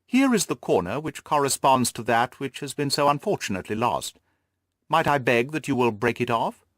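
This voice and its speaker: A man voicing a brisk, courteous and confident detective with precise, self-assured speech in an audiobook. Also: mid-range voice, sing-song, no echo, clean studio sound, close to an everyday voice. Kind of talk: audiobook character dialogue.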